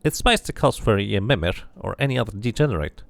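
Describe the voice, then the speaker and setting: A man's voice: lowish voice, lively intonation, fast talker, vocal fry, no echo. A man voicing a chatty, cheeky talking skull companion in a fantasy role-playing game.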